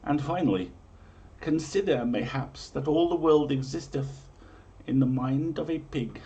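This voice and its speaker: A man's voice: a little high, unhurried, warm tone, dull audio. A man hosting a livestream, speaking in an emphatic, theatrical way as a reader and presenter. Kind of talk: dramatic comic reading in mock-medieval English, then casual chat.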